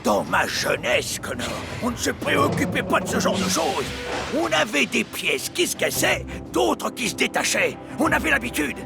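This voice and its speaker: A man voicing a boastful, excitable old steam locomotive with a theatrical, rousing manner in a cartoon dub. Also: extremely high pitch, moderate pace, gravelly, full character voice. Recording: music behind the voice.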